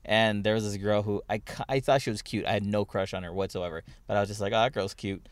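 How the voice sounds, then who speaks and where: Low-voiced young man, rambling stories. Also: fairly even intonation, fast, no echo. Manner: rambling storytelling, many restarts and fillers. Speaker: podcast host. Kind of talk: casual chat.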